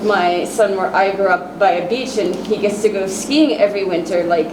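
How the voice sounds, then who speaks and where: A woman from the United States speaks candidly as a parent in a group discussion. Her voice is mid-pitched and sounds distant in an echoey room, with noise behind her. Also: moderate pace. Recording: echoey room, far from mic.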